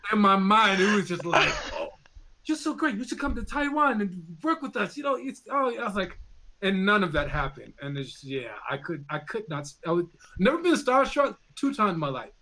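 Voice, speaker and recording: A man's voice: sits high, big pitch swings, normal speed, bright, forceful tone. A man guest on a casual online chat, telling a playful story, quoting himself with jokey asides. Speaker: guest, voice actor.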